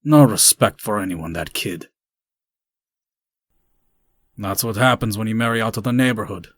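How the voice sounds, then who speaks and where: A man's voice: lowish voice, moderate pace, no room sound, close to an everyday voice. A man playing a grumbling, judgmental older neighbourhood gossip in a comic dub. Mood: disapproving.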